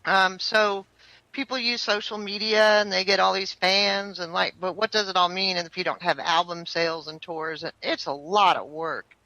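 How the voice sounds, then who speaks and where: An American singer-songwriter in her fifties chats through an interview, rambling along with plenty of fillers. Her voice is bright and forward. The sound is dry and close. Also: lowish voice, fairly even intonation, moderate pace, no echo, poor audio. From United States. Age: 50s. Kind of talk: casual interview chat.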